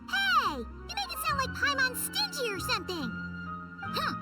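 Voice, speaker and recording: Game fairy sidekick: childlike, extremely high, wildly animated, excitable and quick to get exasperated. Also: female voice, far from the mic, full character voice. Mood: flustered, eager. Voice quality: squeaky, childlike. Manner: dramatic, refers to herself by name. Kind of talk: video game voice acting. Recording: background game music.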